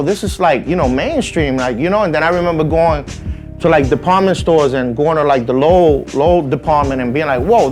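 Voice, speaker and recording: A man in his forties from the Dominican Republic, a guest chatting easygoingly in an interview, with frequent "you know what I mean.". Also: a little high. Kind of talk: casual interview chat.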